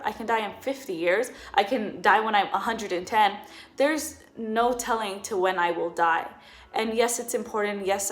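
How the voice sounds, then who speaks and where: A woman's voice: lowish voice, fairly bright tone. A woman in her twenties talking earnestly in a personal vlog. She often repeats words for emphasis. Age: 20s. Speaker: vlogger.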